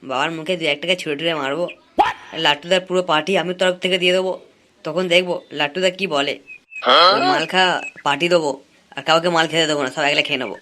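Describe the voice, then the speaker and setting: A man's voice: a little high, bright, forceful tone, slight background noise. A man voicing a broke, hot-headed young villager, whiny and scheming, in a comic cartoon. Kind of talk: comic cartoon dialogue and muttered monologue.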